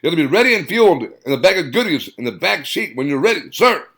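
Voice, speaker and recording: A man doing a jumpy, high-voiced sergeant. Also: big pitch swings, fairly quick, fairly bright tone. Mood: eager.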